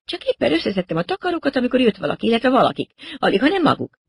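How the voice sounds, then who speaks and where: A man voicing a frightened, breathless young woman pilot in an audiobook, talking in a high, excited rush. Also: gravelly, slightly rough, no room sound, studio quality, full character voice. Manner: high, excited, rushed pleading.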